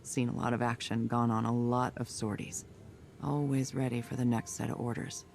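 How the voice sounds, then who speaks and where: Actor as a gravelly, battle-worn soldier. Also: male voice, mid-range voice, level tone, moderate pace, darkish tone, faint music behind, natural voice. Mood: restless. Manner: terse, reflective. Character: restless, battle-worn male veteran soldier. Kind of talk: game cutscene monologue.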